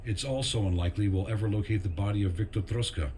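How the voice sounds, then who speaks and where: An actor playing a weary, grieving army major who solemnly delivers a measured farewell letter in a military video game. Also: male voice, lowish voice, a little mellow, a little hiss or hum, close to an everyday voice. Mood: solemn, formal. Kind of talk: dramatic scripted monologue in a military video game. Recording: music under the voice.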